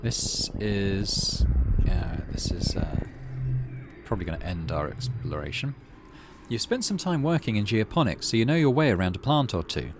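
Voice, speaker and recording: A man with a fairly low voice narrates a video game playthrough. His intonation is lively, moving between read-aloud story and casual commentary, and there is clear noise behind him. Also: moderate pace. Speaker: gaming channel host.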